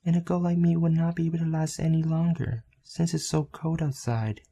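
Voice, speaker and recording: A man plays a serene, selfless young female goat in an anime fan dub. The voice sits slightly high, mellow and creaky. The delivery is soft, tender and deliberate. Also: fairly even intonation. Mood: gentle, resigned.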